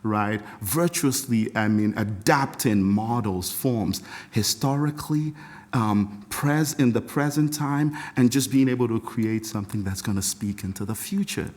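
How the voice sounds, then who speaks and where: A middle-aged Nigerian man with a fairly low voice talks as a podcast guest. His Nigerian accent comes through as he tells stories in a warm, animated way. He laughs often and restarts phrases as his thoughts run ahead. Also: measured pace. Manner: reflective, animated storyteller with frequent laughs and restarts. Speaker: podcast guest, music educator. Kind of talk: casual interview talk.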